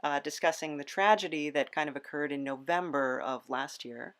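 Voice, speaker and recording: A man's voice: on the high side, normal speed, a bit bright. A man hosting a podcast in a casual interview style, agreeable and easily amused. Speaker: podcast host.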